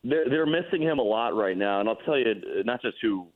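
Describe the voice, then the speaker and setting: A man, a hockey broadcaster, giving relaxed, explanatory analysis as a radio guest. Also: medium pitch, a little fast. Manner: relaxed, explanatory, self-deprecating. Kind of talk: casual radio interview analysis. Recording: tinny phone line.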